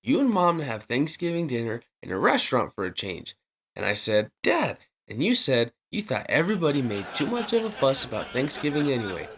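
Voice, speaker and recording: A man's voice: mid-range voice, wildly swooping pitch, a little fast, a bit bright, slightly rough, heavily acted. An impatient American teenage son in an old radio sitcom. His voice leaps around expressively as he protests. He comes across earnest and thoroughly put-upon. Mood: protesting, aggrieved.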